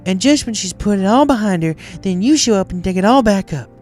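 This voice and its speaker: A woman voicing a blunt, protective female farm pony with a folksy, forceful, exasperated manner in a story reading. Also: mid-range voice, animated, fairly quick, faint music behind, pitched above the performer's own voice, lightly acted. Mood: angry, accusing. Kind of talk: dramatized fan fiction reading.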